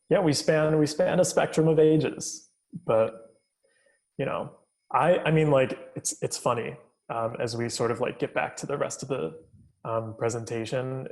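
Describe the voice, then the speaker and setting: A man of twenty-six moderating a casual panel, halting and repeating words as he thinks. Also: mid-range voice, vocal fry, darkish tone.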